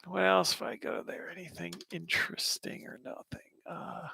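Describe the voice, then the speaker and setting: A man's voice: high-pitched, monotone, normal speed, vocal fry, bright, forceful tone, slightly rough, whispered. An American man in his thirties hosting a casual livestream, answering chat questions in a rambling, wry way with lots of fillers. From United States.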